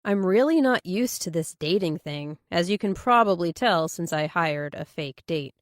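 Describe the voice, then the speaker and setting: A woman's voice: on the low side, lively intonation, moderate pace, dry recording, clean studio sound, close to an everyday voice. A woman voicing a bashful, awkward male police detective in hesitant, sheepish audiobook dialogue. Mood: awkward, embarrassed.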